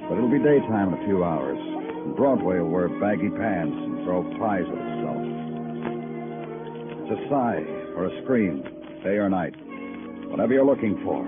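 An American actor playing a terse, deadpan, world-weary Broadway police detective in 1950s radio noir style. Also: male voice, low-pitched. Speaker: lead actor in a radio crime drama. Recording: tinny vintage radio with music.

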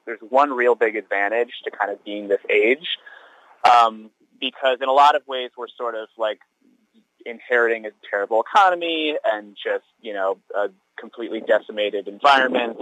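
A man's voice: on the low side, a bit bright, no room sound. Man in his 30s, teacher on a phone call, wry, rambling, fillers everywhere, dry dull lo-fi line. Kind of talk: casual phone chat. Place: sheltered spot outdoors.

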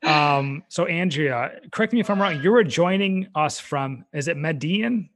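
A man's voice: on the high side, animated, moderate pace, a bit bright, slight background noise. A man hosting a podcast, casual and friendly, with many fillers and restarts. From United States. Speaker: podcast host. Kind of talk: casual interview chat.

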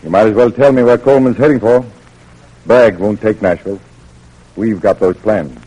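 An actor in an old-time radio drama playing an older general, measured and fatherly, pressing with coaxing authority. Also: fairly low pitch, mellow, lightly acted, rough recording. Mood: grave, coaxing. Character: fatherly, persistent older Union general questioning a prisoner.

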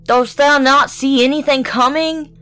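A woman's voice: steady tone, unhurried, a bit bright, faint music behind. A woman voicing a frightened young wife who pleads for help. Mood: pleading. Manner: pleading, high and breathless.

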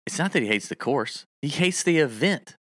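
A man's voice: a little high, normal speed, no room sound, clean studio sound. Emphatic man talking golf. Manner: animated, emphatic, piles on stats. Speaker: golf betting co-host. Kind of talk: casual livestream chat.

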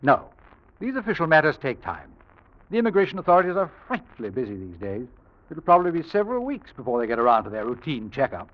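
Suave young schemer in an old radio play, voice swings up and down, muffled hissy recording. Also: male voice, fairly high pitch, wildly swooping pitch, fast. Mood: cool, smug. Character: smooth, scheming young male houseguest from England, charming yet menacing. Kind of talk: old-time radio drama acting.

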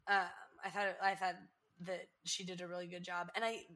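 A bright, forward voice from a young woman in her twenties, hosting a casual podcast. She rambles through her thoughts with lots of "like" fillers and breaks into quick excited bursts. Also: lowish voice. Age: 20s. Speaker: podcast host. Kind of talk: casual chat recapping a TV episode.

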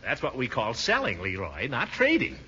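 An actor playing a pompous, soft-hearted middle-aged uncle who blusters in a 1940s radio comedy style. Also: male voice, medium pitch, wildly swooping pitch, moderate pace. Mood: stern. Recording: dull old radio recording with noise.

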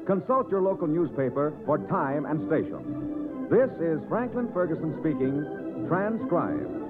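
Swooping American radio announcer, narrow vintage sound. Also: male voice, fairly high pitch, big pitch swings, a little slow, loud music behind the voice. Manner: emphatic, persuasive salesmanship. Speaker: radio announcer and sponsor spokesman. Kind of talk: 1950s radio commercial announcing.

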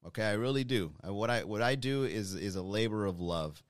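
Man, 30s, comedian chatting to his audience, smooth dry low voice. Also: brisk, no room sound. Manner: rambling, self-deprecating, chatty. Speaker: comedian talking to viewers. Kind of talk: casual monologue to an online audience.

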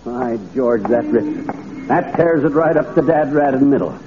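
An actor playing an excitable, blustering, folksy middle-aged man given to colorful grumbling, in old radio comedy style. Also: mid-range voice, moderate pace, close to an everyday voice. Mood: indignant grumbling. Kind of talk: 1940s-50s radio comedy acting. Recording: tinny, noisy old radio recording.

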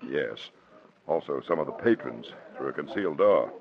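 Deep-voiced police commissioner. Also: male voice, animated, normal speed, gravelly, exaggerated voice. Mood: weary, explanatory. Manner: matter-of-fact, explanatory. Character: official, beleaguered male police commissioner explaining his limits. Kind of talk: 1940s radio drama acting. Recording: dull old radio recording.

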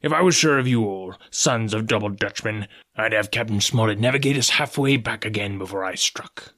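A man acts out a cunning pirate sea cook from an adventure storybook. He is domineering and scheming, scolding his mates in rough, rustic sailor's speech. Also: on the low side, a little fast, sharp tone. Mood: exasperated scheming.

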